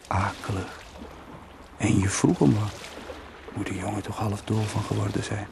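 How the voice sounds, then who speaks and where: A man's voice: lowish voice, vocal fry. An actor in a radio play monologue, playing a brooding, wry man who muses to himself in short, bitterly ironic fragments. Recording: noisy radio play recording.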